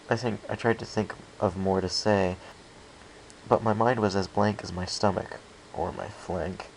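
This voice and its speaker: Guy reading a story out loud, animated, fry in his voice, faint background noise. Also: lowish voice, rough recording. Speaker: amateur fan fiction reader. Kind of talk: scripted first-person story narration.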